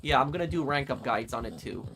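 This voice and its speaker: An American man hosting a gaming channel, casually answering viewer comments in a relaxed, matter-of-fact way. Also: mid-range voice, quick pace, slight background noise.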